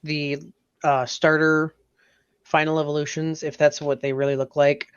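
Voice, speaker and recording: A man's voice: on the high side, level tone, normal speed, clean tone, dry recording. A man co-hosting a podcast in casual chat, recorded with a slightly dull, dry sound. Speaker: podcast co-host.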